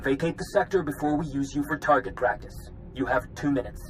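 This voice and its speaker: Male actor as military traffic officer, slightly high voice, stern, clipped, dutiful, video game. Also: fairly quick, lightly acted, low-quality recording. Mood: threatening. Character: stern, dutiful male military controller guarding a warrior fleet.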